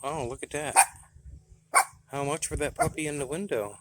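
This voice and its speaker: A man's voice: on the high side, normal speed, heavy background noise. An American man giving a casual, plain-spoken, folksy demonstration of his gear. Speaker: radio hobbyist presenting his gear.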